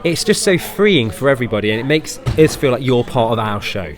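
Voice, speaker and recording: Camp, effusive man with animated pitch. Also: fairly quick, soft background music. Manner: camp, effusive, calls people darling and babes. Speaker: pantomime actor being interviewed. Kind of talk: playful, chatty interview.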